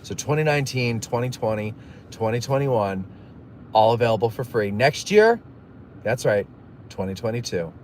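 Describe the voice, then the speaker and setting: A man's voice: mid-range voice, animated, normal speed, a little hiss or hum. Chatty man streaming from his car. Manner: rambling storyteller, jokey asides. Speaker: livestream host. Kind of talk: casual chat with live viewers. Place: in a moving car.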